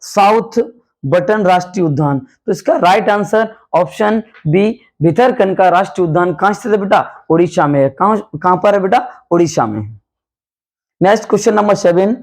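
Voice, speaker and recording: A man with a hoarse voice, a coaching teacher running a quick quiz-style revision lesson, calling his students "beta" and repeating each question and answer. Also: high-pitched. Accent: Hindi. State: hoarse from night classes.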